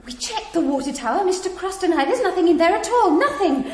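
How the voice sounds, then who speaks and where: A woman's voice: very high voice, sing-song, fast, very noisy. A woman playing a frightened young female captive in a radio drama, pleading and anguished, in a roomy space. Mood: distraught, pleading.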